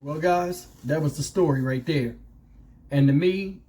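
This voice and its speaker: A man's voice: a little high, a little slow, warm tone, slight background noise. A man giving casual opinion commentary as a channel host, speaking colloquially and conversationally with rhetorical questions.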